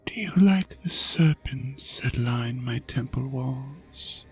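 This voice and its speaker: A performer voicing a seductive, smug ancient dragon god in an intimate audio drama, teasing and coaxing the listener with breathy, half-whispered phrases. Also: mid-range voice, takes it slowly, faint music behind. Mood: smug, playful.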